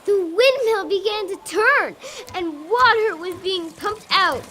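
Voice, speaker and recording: A wind spirit, played by a young girl, narrates a school radio drama. The voice is extremely high and bright, with faint noise behind it. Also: lively intonation, slow pace, exaggerated voice. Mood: storytelling. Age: child, about 10. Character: memory winds, spirit narrating the tale.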